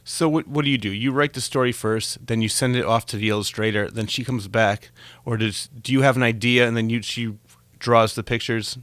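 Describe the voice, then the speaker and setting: Guy hosting an interview, bright forceful voice, dry close sound, mid pitch. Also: steady tone, a little fast, smooth, no room sound. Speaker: talk show host.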